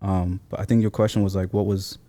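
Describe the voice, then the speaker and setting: Casting: thirties male interview guest, very low and soft-toned, reflective, informal phrasing. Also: little pitch movement, fairly quick. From Seattle, born in Somalia. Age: 30s. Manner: thoughtful, informal slangy phrasing. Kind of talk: reflective casual interview talk.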